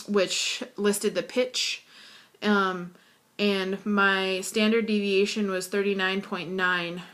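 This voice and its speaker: A woman student with a deep, hoarse voice and vocal fry, talking casually and hesitantly to camera. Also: a bit bright. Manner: hesitant, frequent fillers. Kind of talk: casual video assignment to camera.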